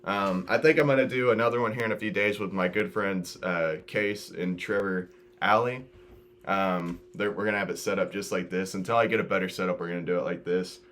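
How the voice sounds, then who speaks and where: A man's voice: low voice, steady tone, brisk, fairly bright tone. An eighteen-year-old man hosting a casual video chat, telling stories with friends in a slangy, informal way. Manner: slangy, informal, says dude and bro often. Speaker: host of a video podcast. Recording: noisy home-made recording.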